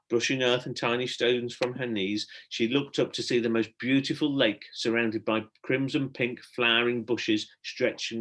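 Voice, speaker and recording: A man's voice: medium pitch, a bit bright. Man narrating a children's storybook expressively. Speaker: primary school teacher reading aloud.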